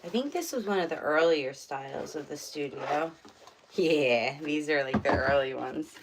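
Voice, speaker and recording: A woman's voice: low voice, animated, normal speed, background noise. A woman casually chatting on a live stream from her home, rambling with many fillers. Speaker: livestream host. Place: home room.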